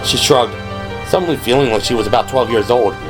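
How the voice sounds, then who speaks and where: A man's voice: medium pitch, fairly quick. A man reading scripted third-person narration for an audio drama. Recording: music behind the voice.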